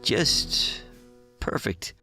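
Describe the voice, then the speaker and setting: A man's voice: drawn-out words, over loud music. A man playing a nervy, stubborn teenage boy in a motion comic, hesitant and easily incredulous.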